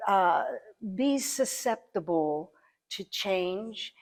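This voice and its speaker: This is a woman with a medium-pitched voice answering questions in an informal interview. She speaks very slowly and thoughtfully, halting as she builds each phrase. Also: lively intonation. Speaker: interview guest, amateur stage actor.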